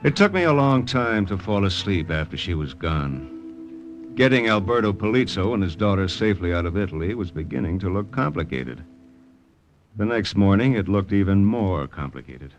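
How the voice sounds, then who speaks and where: An actor playing a tough, wry secret agent in old-time radio drama. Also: male voice, fairly low pitch, expressive, moderate pace, soft background music. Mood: grim, clipped. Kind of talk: old-time radio drama, first-person narration and dialogue.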